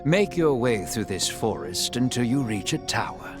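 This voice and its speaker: An actor playing a sly, cryptic dark elf king in a video game, with grandiose, formal phrasing. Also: mid-range voice, sing-song, moderate pace, lightly acted. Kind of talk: video game character acting. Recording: music behind the voice.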